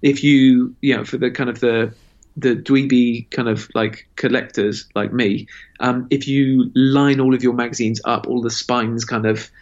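A man answering interview questions in a chatty, self-deprecating way, leaning on "you know" and "kind of". His voice sits in a middle pitch. The audio sounds a little muffled. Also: steady tone, unhurried. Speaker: magazine publisher guest.

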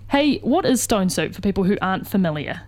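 A woman's voice: mid-range voice, animated, a little mellow. A woman hosting a breakfast radio show, upbeat and encouraging with her guests. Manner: upbeat, encouraging, quick affirmations. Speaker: radio host.

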